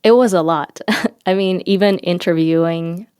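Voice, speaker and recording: A young woman from Ukraine, a native Ukrainian speaker, speaking as a podcast guest in a measured, reflective manner. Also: lowish voice, darkish tone, clean tone, no echo, studio quality. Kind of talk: casual interview talk.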